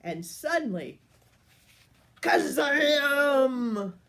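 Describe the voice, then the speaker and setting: An older woman reading a picture book aloud for children in an expressive, unhurried way. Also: high-pitched. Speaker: storyteller.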